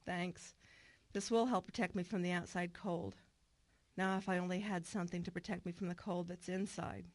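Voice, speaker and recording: A woman's voice: lowish voice, fairly even intonation, moderate pace, darkish tone, no room sound, close to an everyday voice. An actress playing a troubled middle-aged woman, earnest and reflective, in a narrated radio drama. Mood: wistful, grateful. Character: troubled, wistful woman returning home to her estranged mother. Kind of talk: radio drama acting with first-person narration. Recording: clear background noise.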